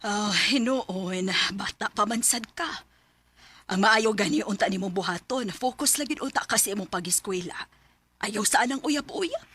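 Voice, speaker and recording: A woman's voice: medium pitch, moderate pace, fairly bright tone, slightly rough. A middle-aged woman playing a caring mother in a radio drama, who gives her daughter advice in a motherly way. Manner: motherly, advising. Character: caring mother of a teenage girl.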